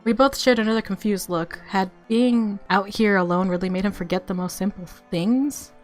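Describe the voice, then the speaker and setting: A woman streamer reading a visual novel aloud with expressive story narration and casual asides. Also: mid-range voice, normal speed, soft background music.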